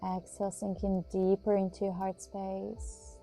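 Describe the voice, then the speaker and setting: Very mellow, soft-toned woman guiding yoga. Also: medium pitch, little pitch movement, gravelly. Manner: soothing, measured instruction with pauses. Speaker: yoga instructor and host. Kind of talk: guided yoga and meditation instruction. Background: music.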